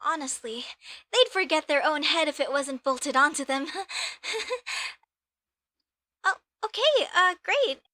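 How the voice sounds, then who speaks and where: A woman's voice: high voice, slow, pauses often, no echo, studio quality, exaggerated voice. A woman playing an earnest, proud young female elf mage who speaks expressively and intimately to the listener in an audio roleplay. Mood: bright, nervous cover. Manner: expressive, chatty, mock-indignant at times, softening to sincere.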